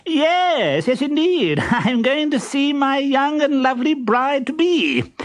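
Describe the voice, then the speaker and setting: High, giggling, vain suitor. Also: male voice, sing-song, slow, no echo, clean studio sound, exaggerated voice. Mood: smug, gleeful. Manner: theatrical, chuckling, savouring rhymes. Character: vain, giggling suitor poet with gap teeth, bossy and smug.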